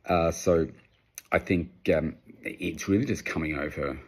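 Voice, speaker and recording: A man's voice: rumbling low, measured pace, slightly rough. A man in his fifties with an Australian accent, giving casual, unscripted advice as a personal trainer in a rambling, conversational way. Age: 50s. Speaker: personal trainer answering audience questions.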